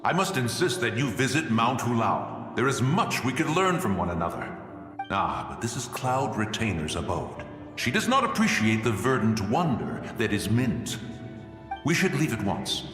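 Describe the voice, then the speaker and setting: A man's voice: mid-range voice, very animated, normal speed, a bit bright, roomy sound, big-room sound, faint music behind. A man playing a genteel, fussy immortal in a game, with courtly and effusive flowery speech. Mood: alarmed. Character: genteel, fussy male immortal adeptus with a love of gardening. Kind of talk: scripted game voice acting.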